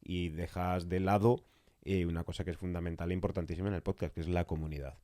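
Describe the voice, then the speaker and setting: A man's voice: deep voice, steady tone, fast, dark tone, no echo, studio quality. A man with an accent from Spain talking casually and emphatically in a podcast conversation, repeating phrases for stress. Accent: Spanish (Spain). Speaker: podcast interviewer.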